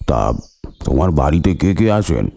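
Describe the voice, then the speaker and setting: A man playing a kindly elderly uncle in a cartoon drama, speaking haltingly in a gentle, fatherly way. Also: very deep voice, sing-song, creaky, music in the background, heavily acted. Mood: kindly welcoming. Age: older.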